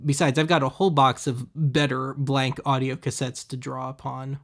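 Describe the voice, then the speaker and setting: A man hosting a web series, giving casual, deadpan, wry voice-over commentary on thrift finds. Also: mid-range voice, normal speed, creaky. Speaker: host of a web video series.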